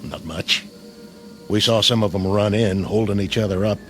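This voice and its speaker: An actor playing a battle-hardened, skeptical army sergeant in a radio drama, plain-spoken, folksy and wry. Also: male voice, fairly low pitch, normal speed, soft background music, close to an everyday voice. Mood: blunt, dry.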